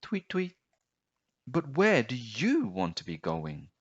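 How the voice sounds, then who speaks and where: A man voicing a small chirpy bird in a storybook, gently questioning like a guide. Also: medium pitch, wildly swooping pitch, takes it slowly, slightly rough, dull audio, voice pushed up, full character voice. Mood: chirpy, gentle. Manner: chirping tweets, prodding questions. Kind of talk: storybook character voice.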